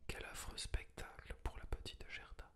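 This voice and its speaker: A storyteller reading a fairy tale aloud in a steady, hushed whisper for an ASMR listener. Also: normal speed.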